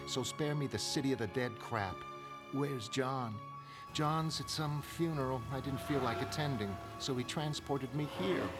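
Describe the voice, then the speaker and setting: A man's voice: medium pitch, close to an everyday voice. A man voicing a sardonic, impatient young woman, a grown daughter with a blunt, colloquial manner, in a comic-book drama. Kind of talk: dramatic comic-book narration in character voices. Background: music.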